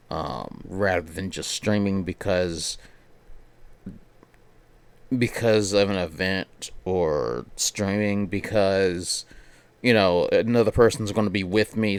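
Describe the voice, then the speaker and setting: A man's voice: low voice, gravelly, clean tone. A man streaming games, chatting casually and thinking aloud in a rambling way that often repeats phrases. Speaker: game streamer. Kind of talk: casual livestream chat while setting up a game.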